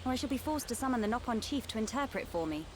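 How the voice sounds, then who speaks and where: A woman's voice: fairly high pitch, lively intonation, very fast, a little hiss or hum, close to an everyday voice. A British actress playing a proud, guarded young noblewoman in a video game, with a haughty, formal manner. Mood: guarded, stern. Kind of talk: dramatic video game acting.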